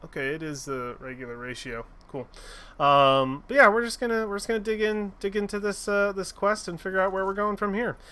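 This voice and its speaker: A man's voice: sits high, fairly quick, bright, forceful tone, clear, resonant tone. A man streaming a game, recapping the story in a rambling, joking way with lots of fillers and casual swearing. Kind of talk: casual let's-play commentary.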